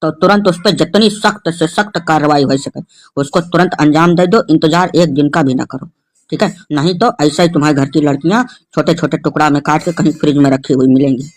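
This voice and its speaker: A man's voice: a little high, a little fast, natural voice. A man playing an agitated, stubborn villager in a comedy cartoon, ranting emphatically with a rustic North Indian Hindi accent. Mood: heated. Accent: North Indian rural Hindi.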